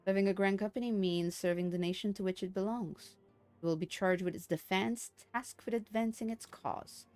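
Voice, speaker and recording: A woman's voice: medium pitch, steady tone, a little mellow, lightly acted. A woman voicing a gracious, formal female leader from a fantasy game, reading in stately phrases. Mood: formal, explaining. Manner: formal, measured, stately wording. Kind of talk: video game dialogue read aloud. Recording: game music behind the voice.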